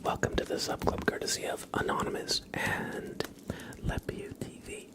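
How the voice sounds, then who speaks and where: A man hosting an ASMR livestream, speaking in a gentle, mostly unvoiced whisper and repeating phrases unhurriedly. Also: moderate pace. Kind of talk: ASMR, greeting viewers and tracing their names.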